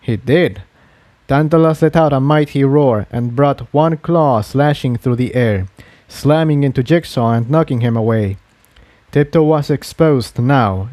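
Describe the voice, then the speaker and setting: A man's voice: medium pitch, a little mellow, no echo. A man reading an adventure story aloud as a scripted dramatic narration. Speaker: fan fiction reader and narrator.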